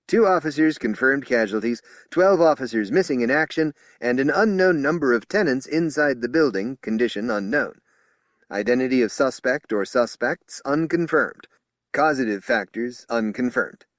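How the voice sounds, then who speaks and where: A man's voice: mid-range voice, animated, normal speed, no echo, studio quality, pitched above the performer's own voice, natural voice. A man voicing a flashy, balding male police spokesman who barks orders at a crowd of officers. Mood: commanding. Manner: shouting, commanding.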